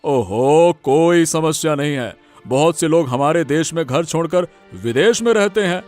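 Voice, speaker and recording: A man's voice: fairly high pitch, expressive, measured pace, a little mellow, dry recording, faint music behind. A man voicing a generous, avuncular older village moneylender in a cartoon drama, expansive in manner. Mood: genial. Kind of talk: Hindi cartoon family drama.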